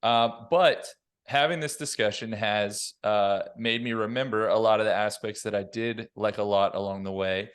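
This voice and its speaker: A man's voice: fairly low pitch, fairly even intonation, moderate pace, fairly bright tone, clear, resonant tone. A man on a podcast panel giving his opinions in casual conversation.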